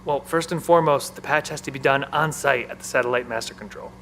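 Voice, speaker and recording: A man's voice: mid-range voice, fast, a bit bright, a little hiss or hum, lightly acted. An actor playing an anxious, protective male bunker technician and new father in an audio drama. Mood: grim, worried.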